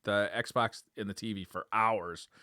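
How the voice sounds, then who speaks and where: A man's voice: on the low side, steady tone, measured pace, sharp tone, smooth, dry recording, clean studio sound. An enthusiastic man, chatting casually as a gaming podcast co-host. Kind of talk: casual chat about video games.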